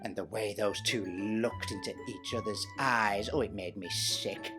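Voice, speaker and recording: A man's voice: fairly low pitch, measured pace, music in the background, pitched below the performer's own voice, close to an everyday voice. A man voicing a vain, jealous pantomime step-sister with a British accent, telling a story theatrically with snide, self-important, chatty asides. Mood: jealous, sarcastic.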